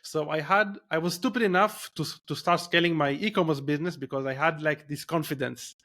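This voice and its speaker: A man's voice: fairly high pitch, brisk, bright, forceful tone. A man in his thirties with an Israeli accent, a podcast guest telling his story in a rambling way, with many restarts and fillers. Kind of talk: casual interview storytelling.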